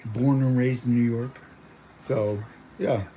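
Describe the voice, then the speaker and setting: A man's voice: mid-range voice, steady tone, slow, mellow, slight background noise, rough recording. A young man born in Mexico chatting casually at home, rambling with lots of fillers and restarts. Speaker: vlogger. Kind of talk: casual chat.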